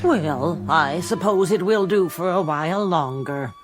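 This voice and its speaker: An actress playing a brisk, fussy, proper boarding-house landlady of an earlier century in a radio drama, formal and inquisitive. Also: on the low side, over music, natural voice.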